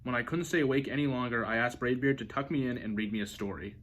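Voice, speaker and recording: A man reading a children's storybook aloud as an expressive narrator. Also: medium pitch, quick pace, rough recording. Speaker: storybook reader.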